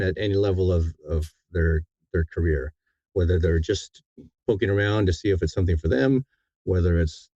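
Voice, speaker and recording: Deep, mellow man, halting delivery. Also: no room sound, muffled recording. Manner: halting, deliberate, repeats words as he thinks. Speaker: audiobook narrator, interview guest. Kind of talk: thoughtful interview conversation.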